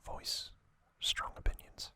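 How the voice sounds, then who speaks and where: Slow, breathy ASMR whisper. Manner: slow, deliberate, hushed phrasing with long pauses. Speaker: host of an ASMR trivia channel. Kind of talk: ASMR, whispered reading and commentary.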